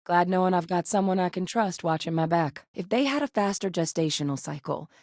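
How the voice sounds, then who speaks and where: A composed middle-aged woman played by a voice actress with a low-pitched voice, recorded very dry. She is an earnest scientist and war veteran in a space video game, articulate and measured in her delivery. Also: a little mellow, no room sound, close to an everyday voice. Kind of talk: scripted video game dialogue.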